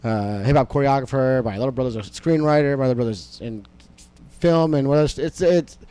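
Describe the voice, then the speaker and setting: Fast-talking young man, loose and profane. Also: mid-range voice, warm tone, smooth. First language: Amharic. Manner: rambling, loose and profane, many fillers. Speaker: stand-up comedian, podcast guest. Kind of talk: casual interview talk.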